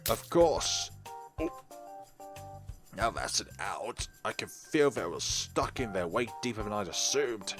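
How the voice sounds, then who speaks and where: Deep voiced guy narrating a visual novel playthrough, really expressive with chatty asides, music behind. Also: a little slow. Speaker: gaming video host. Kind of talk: let's play commentary, reading visual novel text aloud. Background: game music.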